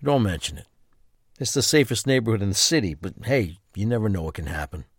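An actor playing an ordinary husband caught up in a crime audio drama. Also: male voice, fairly low pitch, sing-song, brisk, breathy edge, dry recording, studio quality, full character voice. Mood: casual. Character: distressed, defensive husband of a kidnapped wife.

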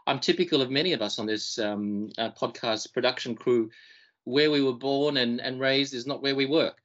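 A man's voice: medium pitch, moderate pace, a bit bright, highs cut off. A man co-hosting a podcast, raised in Fiji and Australia, introducing himself in a thoughtful, searching way and often repeating words as he thinks. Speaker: podcast co-host. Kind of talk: casual self-introduction.

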